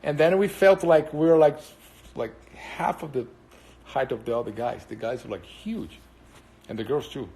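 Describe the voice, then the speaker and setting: A man's voice: mid-range voice, normal speed, vocal fry, a little mellow, slight background noise, low-quality recording. A Brazilian man with a Brazilian Portuguese accent and Portuguese as his first language, chatting casually on a live stream and answering viewers. He rambles and hesitates with many fillers.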